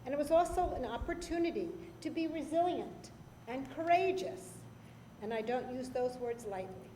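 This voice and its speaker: Woman temple president congratulating the kids, mellow voice, echoey room. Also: sits high, animated, normal speed. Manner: warm congratulatory address. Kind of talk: speech at a service. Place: synagogue sanctuary. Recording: echoey sanctuary with faint noise.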